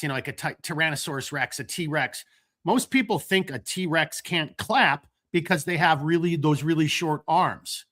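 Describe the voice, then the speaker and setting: A man with a bright, forceful, slightly high voice hosts a loose late-night talk. He rambles, restarts his sentences, and gets animated, breaking off to crack dad jokes. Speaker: podcast host. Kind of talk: casual late-night chat.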